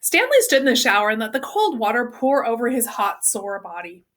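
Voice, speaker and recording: A woman's voice: a little high, big pitch swings, brisk, fairly bright tone. A woman reading a children's novel aloud as a storyteller. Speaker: read-aloud reader.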